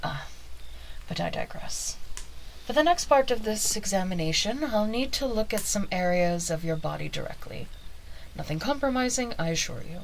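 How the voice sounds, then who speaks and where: A woman playing a quirky, scholarly plague doctor in an ASMR examination roleplay, speaking softly in formal, polite, wordy phrases. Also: mid-range voice, lively intonation, moderate pace, gravelly, slight background noise, poor audio. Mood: polite, clinical.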